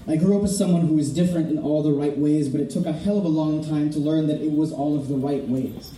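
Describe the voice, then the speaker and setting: Solemn, impassioned speaker with a dark tone. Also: medium pitch, fairly even intonation, quick pace, slight background noise. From Florida, United States. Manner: solemn, earnest, rising to fervor. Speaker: speaker at a memorial vigil. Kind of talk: impassioned prepared speech. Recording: echoey and distant, faint ambience.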